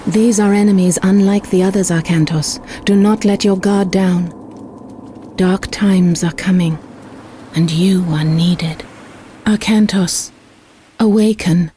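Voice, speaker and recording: An actress playing a wise goddess in a video game, speaking in a grave, measured, portentous way. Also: female voice, mid-range voice, steady tone, mellow, a little hiss or hum, lightly acted. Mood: foreboding. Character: solemn, wise goddess warning a mortal hero. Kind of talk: video game cutscene acting.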